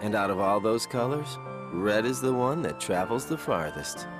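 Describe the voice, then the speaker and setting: Man playing laid-back young explainer, animated low voice. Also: moderate pace, close to an everyday voice. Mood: musing, relaxed. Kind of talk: video game cutscene acting. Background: background music.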